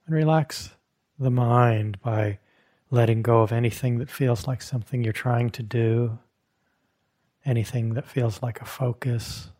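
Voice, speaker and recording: A man with a mellow, husky voice guides a meditation. He speaks very slowly and gently, leaving long pauses between short phrases, like a teacher settling a group into stillness. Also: mid-range voice, fairly even intonation. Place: meditation hall at a retreat.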